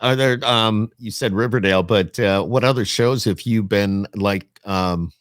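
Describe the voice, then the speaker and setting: Man, low voice, podcast host, informal and rambling, close dry sound. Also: a little slow, no echo. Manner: rambling, informal, self-correcting. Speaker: podcast host, radio interviewer. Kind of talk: casual remote interview chat.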